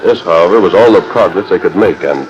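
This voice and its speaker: A man's voice: low-pitched, expressive, fast talker, highs cut off. A man narrating a Western adventure as announcer, in the scripted style of 1940s radio. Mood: measured. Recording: 1940s radio transcription disc.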